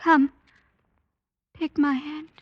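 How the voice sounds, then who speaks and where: An actress playing an innocent young blind maiden in an old radio drama, gentle and careful, with formal phrasing. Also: female voice, sits high, little pitch movement, very slow, dry recording, dull audio. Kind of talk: 1940s radio drama acting.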